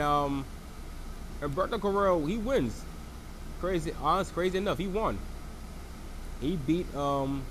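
A man's voice: fairly high pitch, a little hiss or hum, poor audio. A man with a cold and a froggy voice, rambling through a casual solo recap as a wrestling show reviewer. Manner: rambling, lots of fillers and restarts.

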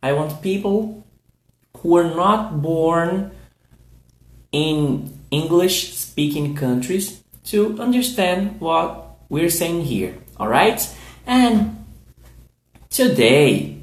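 A man from Brazil with a Brazilian Portuguese accent, a podcast host teaching English on a livestream, speaking very deliberately and repeating words for learners to echo. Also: fairly high pitch, animated. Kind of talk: slow teaching talk, drilling phrases for learners. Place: recorded on a livestream.

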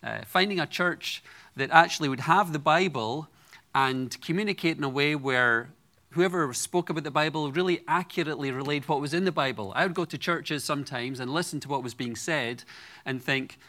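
Bright-voiced Scottish preacher. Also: male voice, on the high side, normal speed. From Scotland. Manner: earnest, personal storytelling with light humor. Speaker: guest preacher. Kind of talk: sermon.

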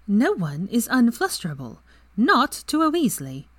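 A woman's voice: wildly swooping pitch, a little slow, dry recording, exaggerated voice. A woman playing a mischievous teasing young witch. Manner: bright, high, playful.

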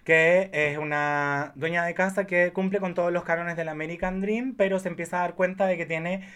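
A man's voice: sits high, steady tone, normal speed, a bit bright, clean tone. A man with a Chilean Spanish accent chatting casually about films in a campy, effusive, exclamatory way. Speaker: podcast guest.

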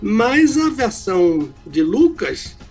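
An older retired pastor from Salvador, Bahia, with a mixed Brazilian accent, teaching the Bible on radio in a calm, explanatory way. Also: male voice, high voice, slow, faint music behind. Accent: mixed Brazilian Portuguese, little Bahian.

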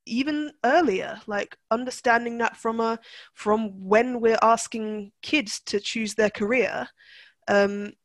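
A woman's voice: on the high side, slow, no room sound. A young woman from Turkey, a guest in a conversational interview, speaking reflectively with frequent restarts and fillers. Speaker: guest, NLP practitioner.

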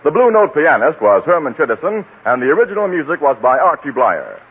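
A man's voice: on the high side, very animated, a little fast, husky. An American man working as a radio announcer, reading a script in polished 1940s broadcast style. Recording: tinny 1940s radio with faint music.

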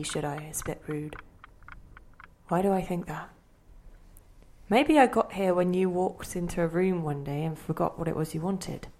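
A woman's voice: fairly low pitch, background noise, close to an everyday voice. A woman playing a bewildered, insistent inner voice. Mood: puzzled, musing. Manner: questioning, fretful, insistent. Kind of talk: audio play monologue and dialogue.

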